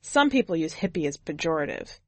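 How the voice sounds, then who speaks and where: A woman's voice: low-pitched, sing-song, a little fast, a little mellow, no echo. A woman narrating in the first person as a jaded, blunt twenty-year-old drifter, wry and foul-mouthed, in an audiobook story.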